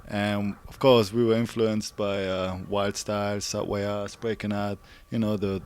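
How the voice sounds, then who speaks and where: Low, flat-voiced man from Germany. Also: clean tone. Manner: unhurried, searching for words. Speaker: graffiti artist guest. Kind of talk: casual interview answers.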